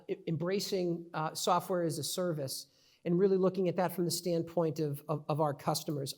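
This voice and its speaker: A man's voice: high voice, darkish tone. A middle-aged man with a New York accent, giving a conversational conference presentation with many fillers and restarts. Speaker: conference speaker.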